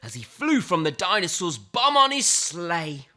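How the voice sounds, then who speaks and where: Animated, bright-voiced woman reading a storybook. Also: on the low side, faint music behind. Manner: theatrical, dramatic emphasis and suspenseful pauses. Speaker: storybook reader. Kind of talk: animated children's picture book read-aloud.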